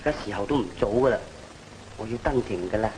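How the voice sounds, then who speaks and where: An actor playing a courteous, dutiful young scholar in an old Cantonese opera film, earnest, with a Cantonese accent. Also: male voice, on the low side, quick pace, a little mellow, a little hiss or hum, natural voice, low-quality recording. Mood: gentle. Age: young adult.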